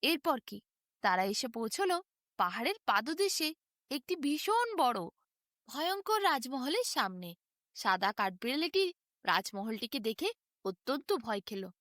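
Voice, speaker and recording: A woman narrating a bedtime fairy tale in an expressive, dramatic, emphatic storytelling manner. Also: sits high, measured pace, no room sound, clean studio sound.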